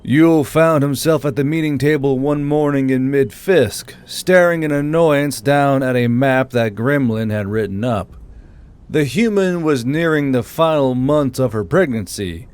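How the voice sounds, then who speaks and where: A man's voice: medium pitch, measured pace, a little mellow, smooth. A man reading scripted narration for a fantasy audiobook as its narrator.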